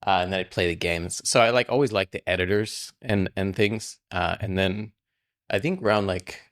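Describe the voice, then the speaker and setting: A man's voice: low-pitched, steady tone, moderate pace, fairly bright tone. A man in his forties from Russia, a game programmer chatting casually as a podcast guest and rambling with a constant stream of "like". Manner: rambling, fills speech with frequent "like". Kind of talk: casual interview chat.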